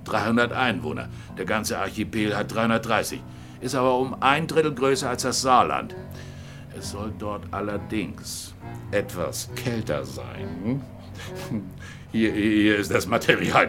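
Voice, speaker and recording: A genial, avuncular police boss in a radio drama. He has a deep voice and a casual, dryly joking way of talking. Music plays clearly behind him. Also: lively intonation, a little slow. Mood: genial, teasing. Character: genial, joking male police superior giving a briefing.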